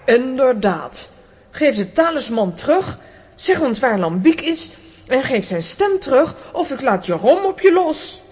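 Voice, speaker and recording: Shrill, bossy comic heroine. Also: female voice, sing-song, measured pace, a little mellow, slightly rough, big-room sound, heavily acted. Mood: threatening. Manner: commanding, scolding. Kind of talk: children's audio drama acting.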